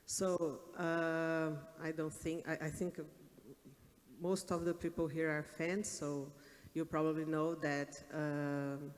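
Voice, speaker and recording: Slow, halting man moderating in a hall. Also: a little high, flat delivery. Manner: hesitant, halting phrasing. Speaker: panel moderator. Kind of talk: panel interview questioning, halting. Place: large indoor conference hall. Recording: echoey hall reverb.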